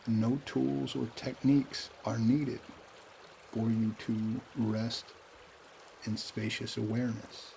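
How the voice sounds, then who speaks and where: A man leading a guided meditation in a calm, unhurried way, with pauses between short phrases. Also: mid-range voice, vocal fry, a little hiss or hum.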